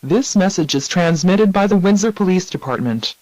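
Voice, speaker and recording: Male emergency announcer, high pitch, formal scripted read, close dry audio. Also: animated, normal speed, darkish tone, dry recording, rough recording. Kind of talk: scripted emergency bulletin reading.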